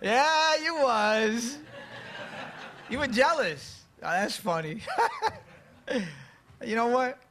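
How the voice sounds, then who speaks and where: A man's voice: very high voice, huge pitch swings, very slow, pauses often, sharp tone, heavily acted. An actor playing a brash, teasing, streetwise guy in a radio play, needling in slangy New speech. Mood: mocking, amused. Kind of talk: stage play performed for radio.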